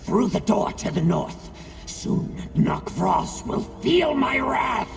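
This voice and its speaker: A man voicing a small, cursed, proud creature bent on vengeance in a fantasy video game, with a harsh squeaky voice and imperious delivery. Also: very animated, slow pace, creaky, distant mic, exaggerated voice. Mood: furious, vengeful. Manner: theatrical, imperious exclamations.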